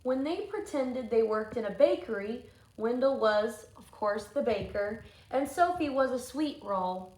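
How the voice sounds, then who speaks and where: A woman with a fairly high voice reads a storybook as a teacher would, slowly and thoughtfully, pausing for questions to children. The room echoes around her. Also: expressive, darkish tone. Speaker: teacher reading aloud. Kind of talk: storybook read-aloud with asides to children. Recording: echoey room with faint noise.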